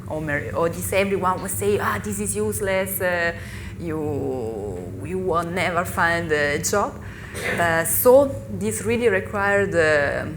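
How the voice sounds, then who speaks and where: A woman in her thirties from Italy, with an Italian accent and Italian as her first language, speaking haltingly as a panelist at a live discussion. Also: lowish voice. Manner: halting, searching for English words. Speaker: panelist, author. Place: festival venue. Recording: live panel recording with noise.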